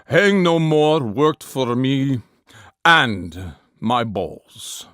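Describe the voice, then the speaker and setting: A man's voice: medium pitch, lively intonation, drawn-out words, a bit bright, dry recording, clean studio sound. A man voicing a solemn, sentimental sufferer from Georgia, the country, giving a testimonial.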